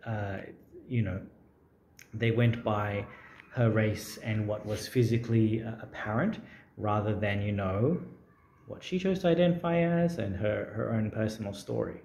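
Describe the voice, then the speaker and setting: A man with a low, mellow voice, musing aloud in an unplanned talk to camera. He rambles thoughtfully, pausing often on fillers. The sound is roomy and echoey. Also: gravelly. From Australia. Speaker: language-learning vlogger. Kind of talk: unscripted casual talk to camera. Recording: roomy echo.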